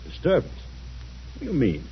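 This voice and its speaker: A man's voice: fairly high pitch, huge pitch swings, moderate pace. A man playing a blunt, stern middle-aged businessman in an old-time radio drama, with a questioning manner. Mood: startled. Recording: dull, faintly noisy old radio recording.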